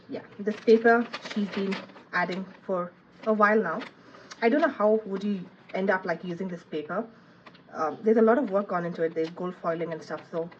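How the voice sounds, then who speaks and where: A woman's voice: lowish voice, lo-fi sound, a little hiss or hum. A woman with a cold, chatting casually through a product unboxing review, thinking aloud with frequent ums. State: has a cold, sniffling. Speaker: planner reviewer.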